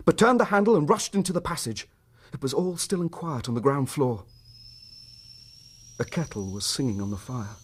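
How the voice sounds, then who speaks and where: An actor playing a troubled, proud Victorian husband in a radio drama, with a British accent and an earnest, formal, wounded manner. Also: male voice, on the high side, husky. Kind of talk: radio drama acting, narrating his own story.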